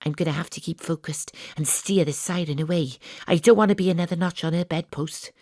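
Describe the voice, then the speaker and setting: An actress playing a wry, sceptical Welsh private detective in a noir-parody audio drama, with deadpan asides. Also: female voice, lowish voice, brisk, dry recording, clean studio sound, natural voice. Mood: wry, suspicious.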